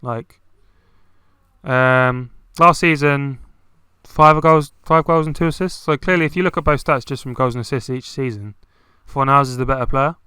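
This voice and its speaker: A man's voice: medium pitch, moderate pace, pushed and bright, clear, resonant tone, no echo. A young man co-hosting a casual football chat, talking loosely with many fillers. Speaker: podcast co-host.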